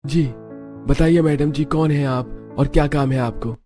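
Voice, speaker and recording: Man acting flustered greedy father, pitched up. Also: fairly even intonation, unhurried, warm tone, soft background music, lightly acted. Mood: wary. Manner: flustered, incredulous. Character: poor, gambling, greedy father of the bride.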